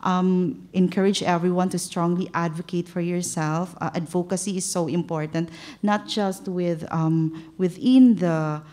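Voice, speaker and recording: A woman's voice: fairly low pitch, fairly even intonation, a little slow, warm tone. A woman on a conference panel sharing her experience as a patient advocate, hesitant and full of fillers, speaking into a table microphone in a large hall. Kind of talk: panel discussion.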